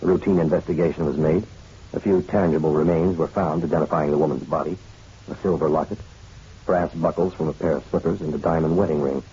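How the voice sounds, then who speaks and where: A man's voice: rumbling low, steady tone, quick pace, warm tone, breathy edge, exaggerated voice. A man playing a dry, wry private detective in a hardboiled radio drama, speaking in clipped, matter-of-fact lines. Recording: dull radio recording with music behind.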